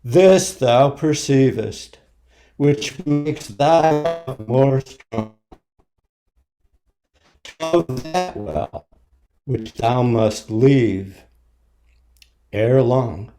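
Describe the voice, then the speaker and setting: Mellow, slow man reciting a sonnet. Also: mid-range voice, animated, soft background music. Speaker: poetry reader at a congregation service.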